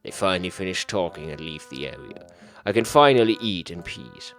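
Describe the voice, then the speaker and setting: A man with a fairly low voice hosts a visual novel playthrough. He reads the text aloud theatrically, with mock gravity. Music plays behind him. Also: lively intonation, a little fast, a bit bright. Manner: theatrical, mock-serious delivery. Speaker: gaming video host. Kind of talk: dramatic read-aloud narration of a visual novel.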